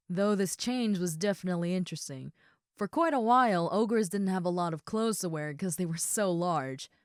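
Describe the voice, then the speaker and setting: Woman acting as huge friendly female ogre, lowish voice, casual and chatty, gentle, close dry sound, audio roleplay. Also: clean tone, no room sound, natural voice. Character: huge muscular red female ogre roommate, friendly and easygoing.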